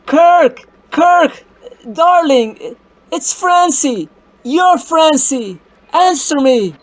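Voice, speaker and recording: Man putting on a high, slow-spoken devoted young woman. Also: animated, heavily acted. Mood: anxious, pleading. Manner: melodramatic, emotional, halting. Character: Francine, devoted, defiant young female bride. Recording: music bed under the voice.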